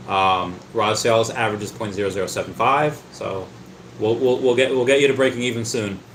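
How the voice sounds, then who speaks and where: A man's voice: on the low side, fairly bright tone, slight background noise. Guy rambling thru his stream chat, keeps tripping over words, kinda echoey room. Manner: stammers and repeats words often; rambling, talkative. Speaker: livestream host reading chat. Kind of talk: casual livestream chat. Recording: roomy echo.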